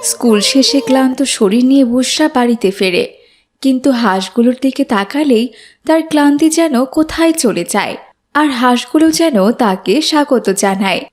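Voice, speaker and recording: A woman's voice: sits high, expressive, moderate pace, a little mellow, soft background music. A woman reading scripted narration for a children's moral story.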